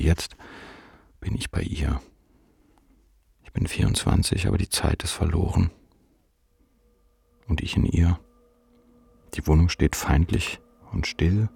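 An actor playing a despairing, ashamed young man of twenty-four who narrates his depression in a bleak, measured radio drama monologue. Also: deep voice, creaky, darkish tone, husky, dry recording, clean studio sound, full character voice.

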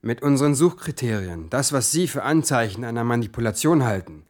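A man's voice: mid-range voice, expressive, brisk, a little mellow, close to an everyday voice. Brash young hacker in a TV drama, impatient and cocky, played by a man. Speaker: hacker helping a journalist. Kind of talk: scripted TV drama acting.

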